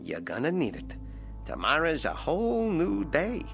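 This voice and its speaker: An actor voicing a sly, bullying male ventriloquist dummy who talks like a gravelly street tough, in a 1960s TV drama over dramatic score music. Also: on the high side, wildly swooping pitch, normal speed, fairly bright tone, full character voice. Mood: sneering. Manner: sneering and bossy.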